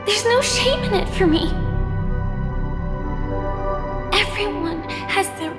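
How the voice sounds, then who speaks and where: An actress playing a gentle but resolute young woman warrior in a game, speaking with measured, earnest hope. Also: extremely high pitch, expressive. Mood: gentle conviction. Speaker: voice actor in a game cutscene. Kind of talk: scripted dramatic game dialogue. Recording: long reverb under clear music.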